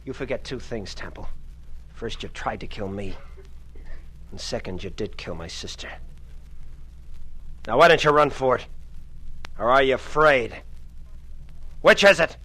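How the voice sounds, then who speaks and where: A husky, menacing avenger. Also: male voice, medium pitch, a little slow, long pauses, sharp tone, rough recording. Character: vengeful male nurse, cold and menacing. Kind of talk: 1940s radio drama acting.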